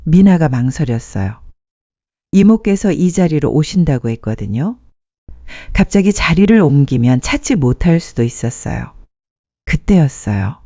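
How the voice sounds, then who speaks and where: Storyteller, scripted narration, mellow, expressive pitch, dry slightly muffled recording. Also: normal speed, dry recording. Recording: muffled dry studio sound.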